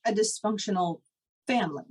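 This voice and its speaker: A woman's voice: medium pitch, fairly quick, darkish tone, breathy edge. A woman co-hosting a podcast, talking casually with lots of "like" and laughing as she tells stories. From Canada. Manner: chatty, frequent "like", laughs while telling stories. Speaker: podcast co-host. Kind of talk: casual conversation.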